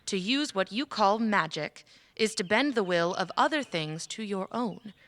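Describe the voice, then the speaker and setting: A woman playing a patient, scholarly mage in a fantasy audio drama, speaking in a measured, formal, instructive way. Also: mid-range voice, expressive, fairly bright tone, no echo. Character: scholarly female mage patiently teaching magic. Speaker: voice actor.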